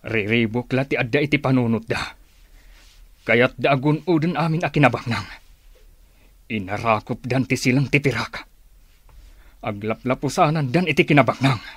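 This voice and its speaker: Husky, brooding, vengeful man. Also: mid-range voice, moderate pace, long pauses, a bit bright, no echo. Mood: bitter. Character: bitter, vengeful man railing at greedy enemies. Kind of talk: radio drama acting.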